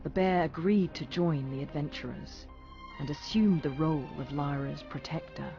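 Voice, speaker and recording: A fairly high-pitched man delivers scripted fantasy narration in a dramatic storyteller's manner. Music plays clearly behind his slightly muffled voice. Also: normal speed. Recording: muffled game audio under music.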